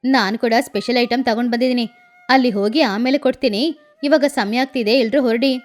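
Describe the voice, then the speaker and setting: A bossy, self-assured cartoon crow lady, acted by a woman boasts and gives orders. Her voice sits lower than the storyteller's, with music behind it. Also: fairly quick, natural voice. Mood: boastful, insistent. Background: background music.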